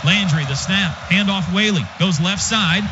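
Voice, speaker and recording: A high-voiced American man does radio play-by-play for football in short, clipped bursts. He is excitable and lifts his voice for big plays. The sound is dull and lo-fi, with loud stadium noise behind him. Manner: clipped, rapid-fire phrases. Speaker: play-by-play announcer. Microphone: broadcast booth microphone.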